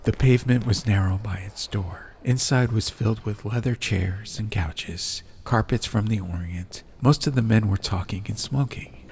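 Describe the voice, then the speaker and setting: A man's voice: on the low side, moderate pace, a little mellow, husky. A man reading a scripted fantasy story as an audiobook narrator. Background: ambience.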